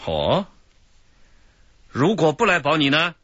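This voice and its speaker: A man's voice: a little high, wildly swooping pitch, slow pace, fairly bright tone, no echo, exaggerated voice. A man voicing a stern middle-aged officer questioning a young thief in a radio drama, dry and mocking. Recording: dull lo-fi radio drama audio.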